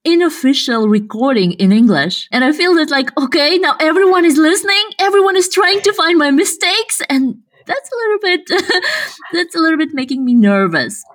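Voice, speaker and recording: Nervous Russian-speaking woman, halting. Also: high voice, big pitch swings. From Kazakhstan. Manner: halting, searching for words, laughs nervously. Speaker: podcast co-host, researcher. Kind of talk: casual podcast chat.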